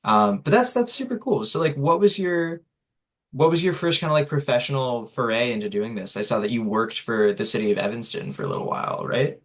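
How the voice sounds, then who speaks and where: An interviewer chatting casually, reacting warmly before asking the next question. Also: mid-range voice, expressive, brisk. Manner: friendly, encouraging, casual with "like" and "kinda".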